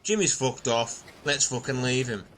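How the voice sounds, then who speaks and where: A man's voice: mid-range voice, expressive, normal speed, fairly bright tone, a little hiss or hum, lightly acted. A man playing an irritable castaway in an amateur comedy audio drama, talking bluntly with plenty of swearing. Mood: brusque.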